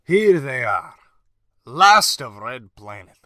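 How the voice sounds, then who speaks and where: A man's voice: medium pitch, sing-song, slow pace, sharp tone, exaggerated voice. An actor playing a blunt Slavic spaceship crewman with an Eastern European accent and broken English in a radio comedy. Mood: deadpan, blunt. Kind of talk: old-time radio comedy acting.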